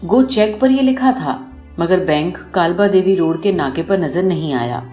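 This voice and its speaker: A woman reading a short story aloud in an expressive, scripted narration style. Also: fairly low pitch. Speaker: audiobook narrator. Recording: muffled, roomy recording with music behind. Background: music.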